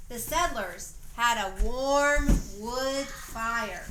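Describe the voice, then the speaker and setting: High voiced lady reading a history story out loud for a homemade play, expressive, roomy echo, bit of background noise. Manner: expressive read-aloud storytelling, deliberate phrasing. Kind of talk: storybook narration for an amateur play, with informal stage directions. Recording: roomy echoey home recording, faint noise.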